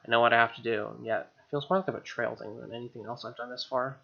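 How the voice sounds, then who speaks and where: A man's voice: medium pitch, steady tone, quick pace, vocal fry, muffled recording. A man hosting a let's play, reading game text expressively with wry, casual asides.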